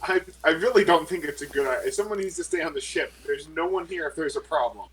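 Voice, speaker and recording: A man's voice: on the high side, quick pace, a bit bright, rough recording. A man playing a tabletop roleplaying game, answering with sarcastic, reluctant banter.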